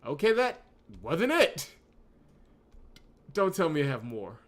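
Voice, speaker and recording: A man's voice: on the high side, very animated, slow. A man hosting a gaming video, commentating on his visual novel playthrough.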